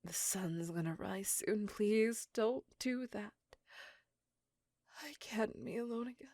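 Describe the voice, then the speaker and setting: A woman voicing a lovesick, possessive vampire in an intimate, dramatic ASMR roleplay, breathy and mostly whispered. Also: mid-range voice, darkish tone, no echo, studio quality. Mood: desperate pleading. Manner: intimate, drawn-out, dramatic delivery. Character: lovesick, possessive female vampire lover, melancholy and seductive.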